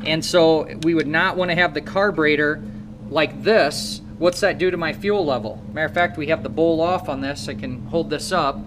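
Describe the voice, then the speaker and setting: Man, midwestern american accent, motorcycle instructor, high voice, coaching students, noisy workshop. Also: moderate pace. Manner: coaching, asks students questions. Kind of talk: hands-on shop demonstration. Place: motorcycle repair shop.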